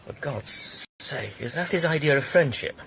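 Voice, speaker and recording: A man's voice: a little high, lively intonation, normal speed, gravelly, noisy background. A man with a British accent playing a flippant, supercilious young student in a radio drama, arch and witty. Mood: incredulous. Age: young adult.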